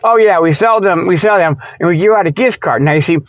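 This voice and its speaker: A man's voice: high voice, quick pace, no room sound. Folksy rambling man, dull lo-fi sound. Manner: folksy, stammering, digressive, chuckling at own jokes. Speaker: radio show host. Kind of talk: rambling comedic monologue.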